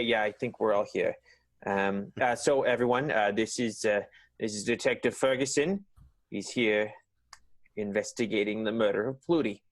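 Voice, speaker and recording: A man's voice: fairly low pitch, no echo. A man playing a nervous, stammering, awkwardly polite chairman in an improvised comic radio play. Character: nervous, evasive man chairing a vaudeville company meeting.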